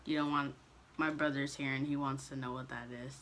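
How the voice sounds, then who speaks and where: A young man chatting casually to camera as a vlogger, relaxed and loose, with frequent ums and restarts. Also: mid-range voice, a little fast, slight background noise.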